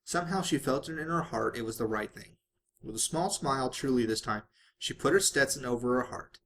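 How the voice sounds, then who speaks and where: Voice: mid-range voice, animated, a little fast, fairly bright tone. An amateur reader narrating a story aloud in the manner of a home-made audiobook. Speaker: fan fiction reader.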